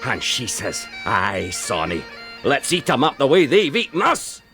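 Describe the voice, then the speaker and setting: A man's voice: mid-range voice, wildly swooping pitch, measured pace, bright, forceful tone, soft background music, exaggerated voice. An actor playing a boastful, gleeful Scottish cannibal outlaw in a radio play, telling his tale theatrically and with relish.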